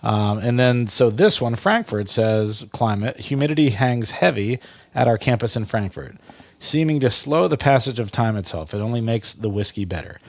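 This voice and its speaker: A man's voice: mid-range voice, lively intonation, moderate pace, dry recording. A man co-hosting a podcast, talking loosely and circling back on himself as he tells stories. His voice is a touch stuffy and nasal today. The recording is dry and close. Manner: rambling storyteller, many restarts and laughs. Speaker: podcast host. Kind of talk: casual tasting chat.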